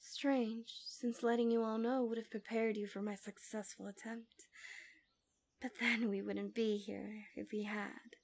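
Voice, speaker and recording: A woman playing a smug, possessive female villain in an ASMR fantasy roleplay, speaking softly and coaxingly with insinuating formal wording, often dropping to a whisper. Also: on the high side, creaky. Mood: smug, confiding.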